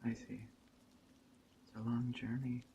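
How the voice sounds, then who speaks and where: A man playing a calm, hospitable witch of a woodland coven in a gentle, unhurried ASMR roleplay that drifts into soft whispers. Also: medium pitch, creaky, highs cut off, slight background noise. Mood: welcoming, calm. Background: rain and forest ambience.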